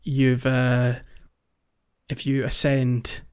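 A man's voice: medium pitch, monotone, very slow, darkish tone, clean tone, lo-fi sound. A man hosting a casual podcast, explaining a game walkthrough and guiding the chat with questions. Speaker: podcast host.

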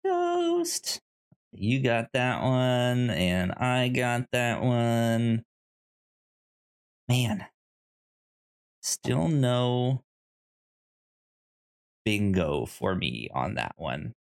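A casual podcast co-host who steers the show at a slow pace. The pitch moves around a lot, and the delivery is playful and halting, like someone thinking aloud while chatting. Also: big pitch swings. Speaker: podcast co-host running the segments. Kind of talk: casual chat.

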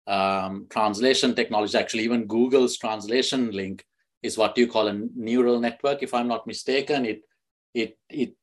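A man from Sri Lanka, a guest on a podcast, answering questions with many restarts and fillers. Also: medium pitch. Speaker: guest, fintech executive.

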